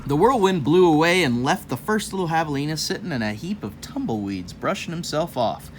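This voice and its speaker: A man's voice: on the high side, lively intonation, fairly quick, a little hiss or hum. A man reading a children's story aloud in a folksy, rambling way, breaking off for casual, jokey asides. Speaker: host of a children's read-aloud show.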